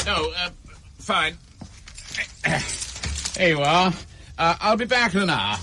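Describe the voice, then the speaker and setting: An actor with an English accent playing a hesitant, polite motorist in a radio drama. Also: male voice, high voice, expressive, slow pace, noisy background, heavily acted. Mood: flustered, polite.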